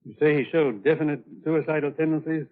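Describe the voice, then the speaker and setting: An actor in an old radio thriller playing a composed, sceptical senior doctor, clinical and questioning. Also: male voice, a little high, steady tone, fast talker, natural voice. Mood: probing, sceptical. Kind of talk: 1940s radio thriller acting. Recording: tinny, narrow-band vintage radio recording.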